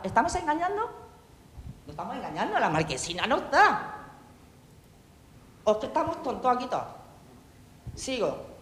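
A woman's voice: medium pitch, a little hiss or hum. Combative woman councillor in an echoing hall. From Catalonia, Spain. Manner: combative, sarcastic, full of rhetorical questions. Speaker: town councillor. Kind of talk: heated speech in a council debate.

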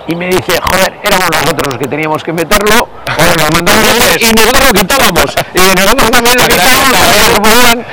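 A man, telling stories as an interview guest, hesitant with many pauses and restarts and some casual swearing. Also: sits high. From Basque Country. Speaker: interview guest, party member. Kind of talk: conversational storytelling interview. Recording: loud background noise.

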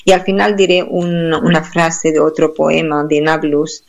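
A woman, a radio guest who talks earnestly and haltingly, searching for words. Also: sits low, little pitch movement, gravelly, a little hiss or hum. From Palestine. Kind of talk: interview with poetry reading. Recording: dull lo-fi sound.

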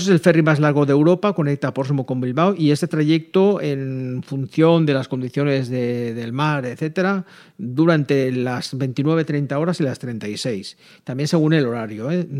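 A man with a Spain Spanish accent talks as a travel show host. His voice sits at an ordinary male pitch. He explains in an informal, halting way, padding his phrases with frequent filler words. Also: a little mellow. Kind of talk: conversational radio-style travel talk.

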